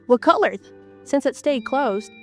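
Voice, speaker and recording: A woman's voice: sits high, lively intonation, a little slow, a bit bright, soft background music. A woman with a stuffy nose from a cold, narrating her walk through an abandoned house in a chatty way and often exclaiming in amazement. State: congested from a cold. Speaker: urban explorer vlogger. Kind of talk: casual guided tour narration.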